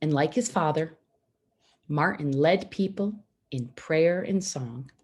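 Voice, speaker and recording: A woman reading a children's picture book aloud, phrasing it simply and deliberately with pauses for young listeners. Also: low voice, a little mellow, slightly rough. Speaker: storybook reader for schoolchildren.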